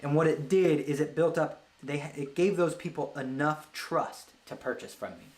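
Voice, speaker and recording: A man with a slightly high voice talks through business ideas as the host of a read-along. His delivery is casual and rambling, as if working it out aloud, and he checks in with the listener. The recording is distinctly roomy and echoey. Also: fairly even intonation, normal speed, darkish tone. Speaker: host of a business book read-along show, web agency owner. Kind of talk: casual explanatory talk with read-out book passages.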